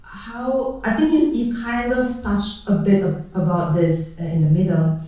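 A woman hosting a podcast interview, soft and hesitant, filling gaps with "mm", "like" and "um". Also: mid-range voice, steady tone, a little slow. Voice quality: soft, lots of "mm" and "like", hesitant phrasing. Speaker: podcast host. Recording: dull, echoey sound, far from the mic.

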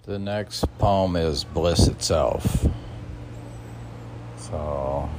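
A man reading his own poetry and adding rambling casual asides in a musing, halting manner. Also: extremely low pitch, background noise.

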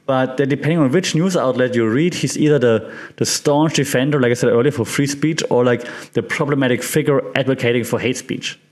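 Male podcast host, German accent, mid pitch, informal explainer, halting and conversational, often checks in with the listener. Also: darkish tone. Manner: conversational, halting, repeats words, checks in with "right?".

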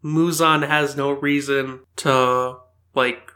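A man's voice: mid-range voice, fairly even intonation, slow, sharp tone. A man in a casual fan discussion as a podcast co-host, reasoning things out in an explanatory way.

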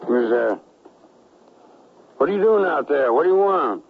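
An actor playing an irascible, impatient colonel in a western radio drama, gruff and combative with a gravelly voice. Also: male voice, on the high side, animated, unhurried, a little hiss or hum. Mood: suspicious. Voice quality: gravelly, husky. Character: irascible Southern-sympathizing colonel, impatient father of a grown daughter. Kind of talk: 1970s radio drama acting. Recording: muffled, narrow-band 1979 radio recording.

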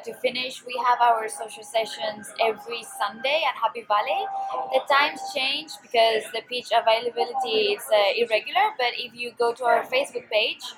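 A woman's voice: a little high, a bit bright, low-quality recording. A woman who helps organise tag rugby events, answering interview questions hesitantly, with many fillers and laughs.

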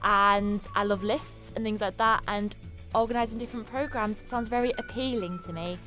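A woman's voice: medium pitch, steady tone, normal speed, bright, forceful tone, faint music behind. A young woman from northeast England, a student co-hosting a podcast, chatting enthusiastically with a nasal, cold-stuffed voice. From Darlington, northeast England. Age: young adult. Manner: enthusiastic, giggly. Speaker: podcast co-host. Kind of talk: casual chat.

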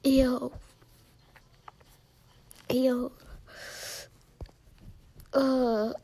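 A teenage girl with a high-pitched, mellow voice, chatting casually in a vlog. She takes her time and rambles a little. Her asides fade into soft near-whispers. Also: flat delivery, extremely slow. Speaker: vlogger. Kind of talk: casual chat, reading out questions and answering them.

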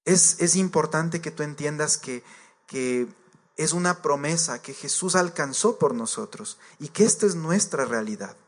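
A man's voice: on the high side, expressive, measured pace. A man preaching a sermon to a congregation, earnest and direct, repeating his points and addressing the listeners as believers.

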